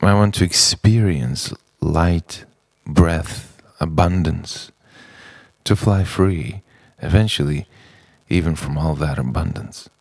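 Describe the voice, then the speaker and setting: Slow, deep, gravelly man musing poetically. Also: no room sound. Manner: musing, halting phrases, rhetorical questions. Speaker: narrator of a personal art film. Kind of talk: poetic, introspective spoken-word monologue.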